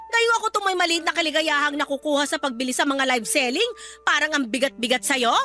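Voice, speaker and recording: A woman's voice: high-pitched, quick pace, sharp tone, faint music behind. A woman playing a bitter, domineering mother in a Filipino radio drama, scornful and accusing as she nags her daughter. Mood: scornful, reproachful.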